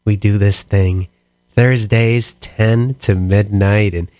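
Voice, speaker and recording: A deep, slow-talking man with a nasal, stuffed-up sound hosts a late-night jazz radio show. He rambles easily between tracks, full of "uh"s, on a dry studio mic. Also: steady tone, a little mellow, no echo. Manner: rambling with many fillers and laughs. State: stuffy, sniffly. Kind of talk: casual radio talk between songs. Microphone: studio microphone.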